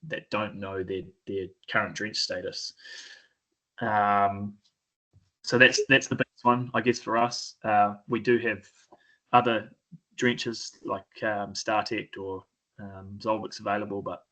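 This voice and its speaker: A man with a New Zealand accent giving an informal presentation, often pausing mid-phrase in a hesitant way. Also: on the low side, sharp tone, poor audio. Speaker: sheep and beef farmer, presenter.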